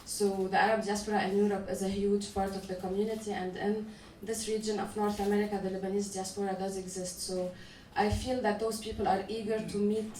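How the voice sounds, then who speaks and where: Halting woman heard from afar in a hall. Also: mid-range voice, flat delivery, darkish tone. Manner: measured, halting, frequent "uh" restarts. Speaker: panel speaker, festival organizer. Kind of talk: conference panel talk. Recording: echoey room, voice from a distance, faint noise.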